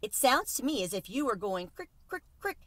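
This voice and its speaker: Storybook tomcat voiced by a woman: large, easygoing, gracious, faintly wry, clear bright delivery. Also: fairly high pitch, big pitch swings, moderate pace, no room sound. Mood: appreciative. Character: big easygoing male tiger cat, friendly and gracious.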